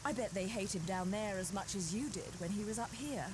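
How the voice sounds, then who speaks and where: A woman's voice: medium pitch, brisk, noisy background, lightly acted. An actress playing a sultry, haughty, unflappable witch in a video game, with a poised, teasing drawl. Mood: dry, teasing. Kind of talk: cinematic video game voice acting.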